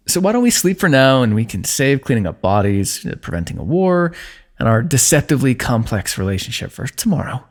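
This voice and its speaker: A man performing an intimate fantasy roleplay for ASMR, speaking softly in a teasing, affectionate way. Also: medium pitch, breathy edge. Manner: soft, teasing and affectionate, with frequent light laughs.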